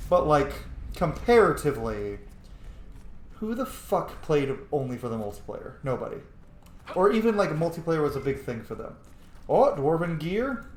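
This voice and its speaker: A man's voice: fairly high pitch, very animated, normal speed. A man giving casual, sweary gameplay commentary, thinking aloud and playfully bursting into silly exclamations. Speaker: streamer playing a role-playing video game. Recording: roomy echo, faint background noise.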